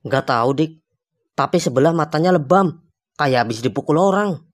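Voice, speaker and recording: Casting: male actor for young man, cartoon hotel guest, easygoing yet jumpy, slightly higher register. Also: animated, moderate pace, close to an everyday voice. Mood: concerned. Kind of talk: cartoon dialogue, casual slang.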